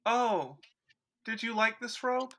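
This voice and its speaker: A man's voice: very high voice, lively intonation, takes it slowly, pushed and bright, exaggerated voice. A man voicing a chipper, guileless young son in a 1940s radio sitcom parody, nonchalant and eager.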